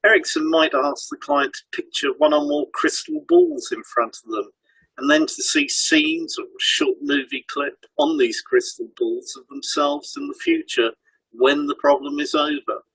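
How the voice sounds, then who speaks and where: A man's voice: a little high, huge pitch swings, moderate pace, gravelly, a bit bright, slightly rough, poor audio. A man co-hosting an educational podcast, reading scripted explanations in an instructive way. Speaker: podcast co-host and hypnotherapy trainer. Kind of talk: scripted educational podcast dialogue, read aloud.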